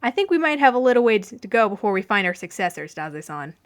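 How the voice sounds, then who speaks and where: A woman voicing a composed, deadpan mafioso man in a fan fiction reading, dry and matter-of-fact. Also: mid-range voice, fast talker, natural voice. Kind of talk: fan fiction audiobook character voice.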